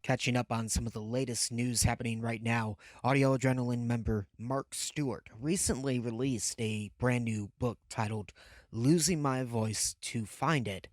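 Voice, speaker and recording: A man's voice: medium pitch, fairly even intonation, moderate pace, dry recording. Dry-voiced male podcast host. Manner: easygoing, joking, with fillers. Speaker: podcast host and news reader. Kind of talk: casual interview chat and read news segment.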